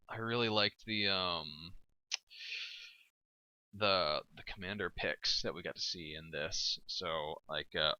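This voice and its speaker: Low-voiced laughing male game caster. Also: bright, forceful tone, smooth, dry recording, lo-fi sound. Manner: chatty, laughs often, halting with fillers. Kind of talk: casual strategy game commentary.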